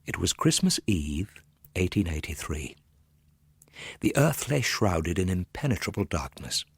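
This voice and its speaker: An actor playing a nervous, gloomy Victorian gentleman telling a ghost story in a theatrical radio monologue, full of hushed dread and sudden swells. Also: sits low, sing-song, a little slow, gravelly, husky, no echo, heavily acted. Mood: ominous storytelling.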